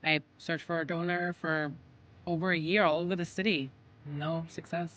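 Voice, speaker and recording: A woman's voice: low voice, fairly even intonation, normal speed, a bit bright. A woman playing a weary, apologetic mother in an audio drama. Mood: apologetic, sad.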